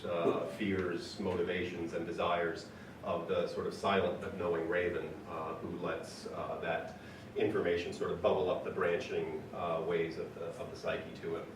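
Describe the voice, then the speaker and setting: A man with a cold-hoarse voice, presenting his paintings in a casual talk, hesitant with many ums and uhs. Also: low-pitched. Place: event room with audience. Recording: echoey room, from far off, noisy.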